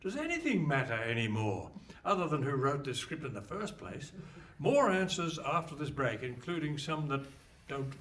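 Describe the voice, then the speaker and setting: A man's voice: medium pitch, sing-song, normal speed, fairly bright tone. A man acting as a wry, bemused narrator in a comic radio play performed live. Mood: wry, questioning. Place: hall stage before a live audience. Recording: faint noise, distant stage mic.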